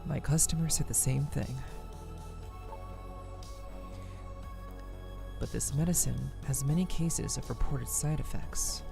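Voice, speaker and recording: Gravelly, earnest male counselor, music behind. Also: medium pitch, moderate pace. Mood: earnest, firm. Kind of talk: voiced game dialogue. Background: background music.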